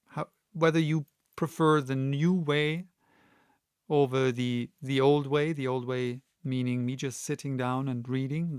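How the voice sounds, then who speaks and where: A man hosting and reading audiobooks, speaking deliberately in short, separated phrases. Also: medium pitch, clean tone, no echo, studio quality. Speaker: audiobook reader and channel host. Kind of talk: casual introduction and expressive audiobook narration.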